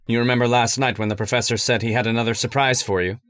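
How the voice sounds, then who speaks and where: A man playing a megalomaniac robot passing as human in an old-time radio horror drama, deliberate and grandiose. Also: mid-range voice, fairly even intonation, fairly bright tone, no room sound, close to an everyday voice. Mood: menacing, triumphant. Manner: deliberate, grandiose villainous pronouncements. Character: megalomaniac robot disguised as a man, menacing and coolly certain.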